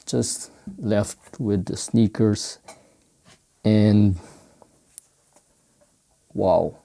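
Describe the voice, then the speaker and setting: A man from the Philippines with a Filipino accent, speaking non-native English in casual, halting unboxing commentary as he searches for words. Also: fairly low pitch, gravelly, dark tone, slight background noise. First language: Filipino language. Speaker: sneaker unboxing vlogger. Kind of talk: casual unscripted product commentary.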